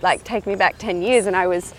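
A woman speaks as a guest in a relaxed outdoor interview, walking through a park. Her voice sits at an ordinary middle pitch, and she explains things earnestly in a chatty flow, leaning on casual fillers. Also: fairly quick, slight background noise, poor audio. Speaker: guest, entrepreneur.

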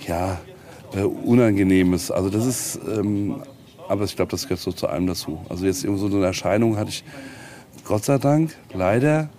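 A man's voice: sits low, moderate pace, warm tone. A man being interviewed casually, talking reflectively and often searching for his words.

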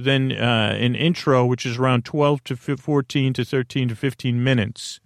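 A man with a creaky voice hosting a sleep podcast, rambling in a lulling, hesitant way as he wanders through tangents, repeating and interrupting himself. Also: mid-range voice, dry recording, studio quality. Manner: lulling, soothing delivery. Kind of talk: rambling, tangent-filled bedtime podcast monologue.

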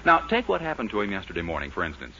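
An actor as a wry, mock-grave narrator delivering scripted 1940s radio comedy storytelling. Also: male voice, sits low, wildly swooping pitch, rapid-fire, sharp tone, a little hiss or hum, heavily acted. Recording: muffled 1940s radio recording with music.

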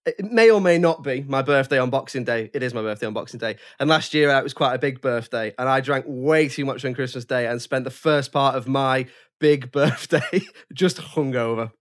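A man's voice: mid-range voice, brisk. A man co-hosting a podcast, jokey and rambling, with frequent restarts. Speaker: podcast co-host. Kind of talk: casual podcast chat.

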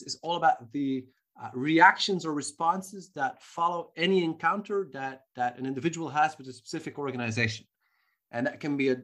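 A marketing professor answering interview questions thoughtfully, with frequent restarts and fillers. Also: male voice, a little high, a bit bright. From Belgium.

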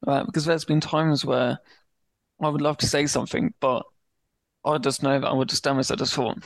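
A young man with a stammer, telling his personal story as a podcast guest and pacing his words slowly before speeding up. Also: medium pitch, flat delivery, no room sound. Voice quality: speaks with a stammer. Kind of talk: candid personal story in an interview.

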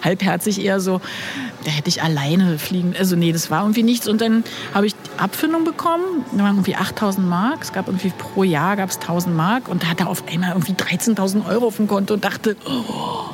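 A woman's voice: mid-range voice, brisk, over music. Chatty woman in her fifties. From Berlin. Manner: chatty storyteller with many fillers. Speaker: guest, former flight attendant. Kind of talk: casual interview.

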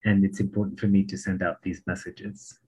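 A husky man with a British accent tells his stories with energy, slipping into the voices of the people he met. His voice is a little breathy, and he laughs easily as he goes. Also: sits low, a little fast, low-quality recording. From Palestine. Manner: animated anecdotes, laughs often, acts out voices. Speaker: memoir author guest. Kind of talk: storytelling in an interview.

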